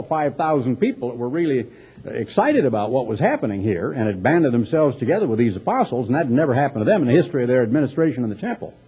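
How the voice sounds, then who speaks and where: A man's voice: mid-range voice, breathy edge. A man with a Texas accent preaching a sermon, reading scripture and commenting on it in an emphatic, dramatic way with rhetorical questions and mocking asides. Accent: American, Texas. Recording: dull lo-fi sermon recording.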